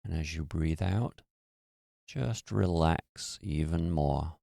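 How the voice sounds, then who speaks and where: A man guiding a meditation in a slow, soothing, hushed way. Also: very deep voice, gravelly, dry recording, studio quality. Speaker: meditation guide. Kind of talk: guided meditation.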